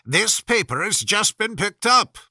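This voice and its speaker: Man as nervous storybook rabbit, respectful, fussy, raised sharp voice, animated pitch, courtly herald. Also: measured pace, no room sound, studio quality, exaggerated voice. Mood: eager.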